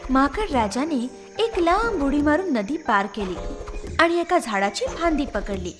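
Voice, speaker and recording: A woman's voice: high-pitched, lively intonation, measured pace, soft background music. A woman narrating a scripted moral story for children.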